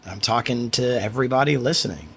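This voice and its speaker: A man with a quiet voice, hosting a solo podcast and talking in a reflective, rambling way with frequent restarts. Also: mid-range voice, fast talker, slight background noise. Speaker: podcast host. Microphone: studio microphone.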